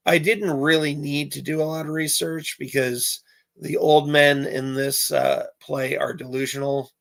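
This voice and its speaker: A man with a hoarse voice chatting casually with viewers on a live stream, rambling with many filler pauses. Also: mid-range voice, a bit bright.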